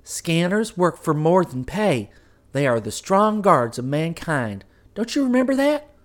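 A proud, devoted young wife speaks in a high, lilting register, voiced by a man reading a story aloud. She recites her praises with disciplined conviction, as if repeating a creed for the thousandth time, her words rising and ringing. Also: sing-song, measured pace. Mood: insistent. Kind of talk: audiobook character voice.

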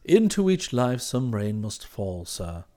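Very low, unflappable English valet. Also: male voice, sing-song, mellow. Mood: polite, reserved. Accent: upper-class British English. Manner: formal, measured, discreet, precise wording. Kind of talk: audiobook character voice.

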